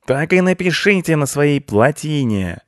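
A man's voice: fairly high pitch, lively intonation, slow pace, darkish tone, dry recording, clean studio sound, pitched above the performer's own voice. A man voicing a rude, hot-tempered ginger tomcat in an animal story, shrieking and hissing threats. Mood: furious.

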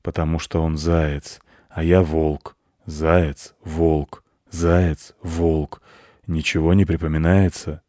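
A man voicing a gloomy, worldly-wise storybook wolf who grumbles in a slow, colloquial, weary way. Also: very deep voice, little pitch movement, mellow, no echo, clean studio sound. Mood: weary, grumbling.

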